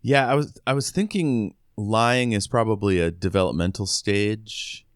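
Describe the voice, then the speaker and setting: Low-voiced man in casual podcast chat. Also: unhurried, no room sound, clean studio sound. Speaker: book podcast co-host. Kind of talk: casual chat discussing a novel.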